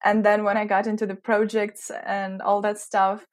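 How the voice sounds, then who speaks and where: A woman's voice: medium pitch, flat delivery, moderate pace. Young woman, British-American mixed accent. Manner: hesitant, searching for words. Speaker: interview guest, recent graduate. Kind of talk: reflective interview answers.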